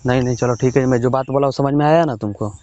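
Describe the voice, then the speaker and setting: A man's voice: medium pitch, fairly even intonation, fast talker, dark tone, dry recording. A man in a casual private phone conversation, giving instructions insistently and repeatedly checking that he is understood. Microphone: phone call. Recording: dull lo-fi phone call recording.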